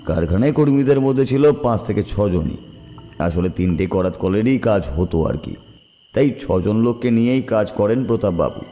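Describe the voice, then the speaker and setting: A man playing a rural local who recounts dark tales in a colloquial, deliberate way in a horror audio drama. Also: on the low side, expressive, soft background music, lightly acted. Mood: grave recounting.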